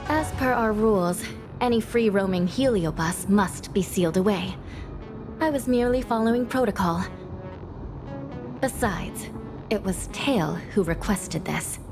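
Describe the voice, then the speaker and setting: Woman voicing calm serious judge lady in a game, formal, firm, mid voice, music behind. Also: medium pitch, a little slow, close to an everyday voice. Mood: matter-of-fact. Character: composed, duty-bound female supernatural judge, calm and firm. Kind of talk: video game dialogue acting.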